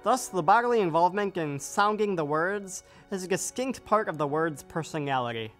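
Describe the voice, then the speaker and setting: A performer gives a scripted recitation of literary prose. The pitch is middling, and faint music runs behind the voice. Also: animated, moderate pace, a bit bright. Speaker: readers theater performer reading quotations.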